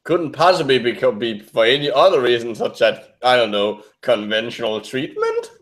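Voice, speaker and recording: A man speaking non-native English that mixes American and British, chatting casually and haltingly as a podcast co-host, often searching for words. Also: medium pitch, wildly swooping pitch, fairly bright tone. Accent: non-native English mixing American and British. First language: not English. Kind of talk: casual science debunking chat.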